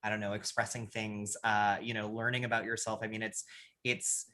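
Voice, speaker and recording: Loud, bright-voiced man chatting. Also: lowish voice, normal speed. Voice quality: loud, animated, full of fillers like "you know". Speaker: guest creator. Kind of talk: casual livestream chat.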